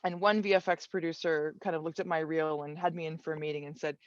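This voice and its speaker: A woman colorist on an online panel, telling her career story in a relaxed, thoughtful way with many fillers and restarts. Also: low voice, quick pace, dull audio. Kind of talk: casual panel talk.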